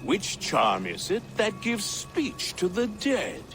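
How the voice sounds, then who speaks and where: An actor voicing a credulous, eccentric old herbalist with a mystical, archaic and theatrical way of speaking in a game cutscene. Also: male voice, high voice, wildly swooping pitch, takes it slowly, music in the background, exaggerated voice. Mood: probing, suspicious. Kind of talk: video game cutscene acting.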